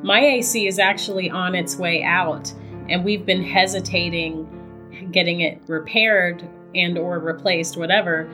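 Woman podcast co-host, hoarse and raspy, slow and lowish, easy casual banter, faint music behind. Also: steady tone, pushed and bright. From Ohio. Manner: laughs often, says "girl". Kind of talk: casual chat.

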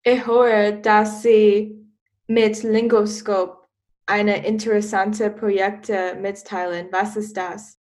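Slow, flat teen girl. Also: mid-range voice. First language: Chinese. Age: teens. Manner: careful, asks questions. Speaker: student podcaster. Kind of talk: casual chat.

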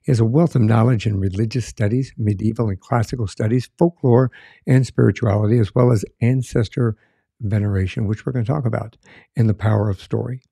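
A man's voice: fairly low pitch, steady tone, brisk, creaky, dark tone, husky, no echo, clean studio sound. A middle-aged man hosting a podcast interview, friendly and rambling, often restarting his sentences. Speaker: podcast host. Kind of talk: conversational interview.

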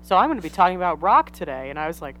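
A woman's voice: on the low side, very animated, racing, a bit bright, a little hiss or hum, rough recording. A woman co-hosting a casual podcast. She reacts with enthusiastic, exaggerated remarks. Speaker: podcast co-host. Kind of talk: casual chat.

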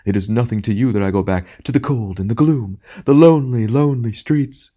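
A man's voice: mid-range voice, fairly quick, mellow, voice pushed up, lightly acted. A man voicing a bullying, theatrical phantom tragedian in an audiobook, declamatory and melodramatic, full of grandiose self-pity. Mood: wounded self-pity. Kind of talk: dramatic audiobook character acting.

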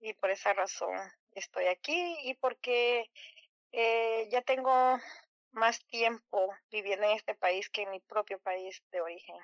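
Salvadoran lady on a radio show, slow and unsure, lots of ums, dry dull sound. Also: medium pitch, dry recording. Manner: hesitant, many fillers. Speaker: guest. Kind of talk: casual radio interview.

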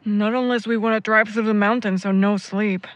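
A woman's voice: medium pitch, fairly even intonation, normal speed, no room sound, lightly acted. An actress playing an uneasy, polite young woman traveling with friends, hesitant, in a naturalistic audio drama. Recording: clear vehicle and ambient noise behind.

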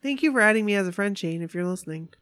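A woman's voice: mid-range voice, lively intonation, rapid-fire, a bit bright. A woman with a congested, slightly nasal voice co-hosts a casual podcast chat. She is bubbly and giggles easily. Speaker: podcast co-host.

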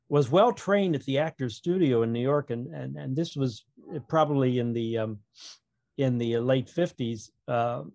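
A man talks casually as an author being interviewed. His manner is wry and rambling, like someone telling stories off the cuff. The voice sits at a middling pitch, recorded dry with very little room sound. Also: darkish tone, dry recording, dull audio. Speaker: author, podcast guest. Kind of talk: casual interview chat about own writing.

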